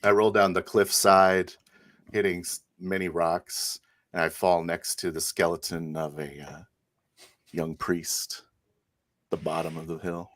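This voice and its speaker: A man's voice: deep voice, little pitch movement, unhurried, a bit bright, no room sound. A man playing a tabletop roleplaying game, casually telling his character's actions in the first person.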